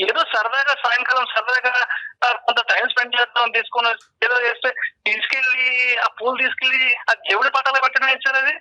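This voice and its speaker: A man's voice: very high voice, flat delivery, fast talker, pushed and bright, poor audio. A man calling in to a show, airing a personal grievance in a pleading, insistent way. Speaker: caller.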